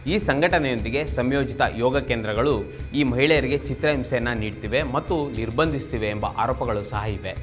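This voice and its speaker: A man's voice: medium pitch, fairly bright tone. A man from Karnataka presenting a scripted political news explainer, formal and expository, addressing viewers directly. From Karnataka, India.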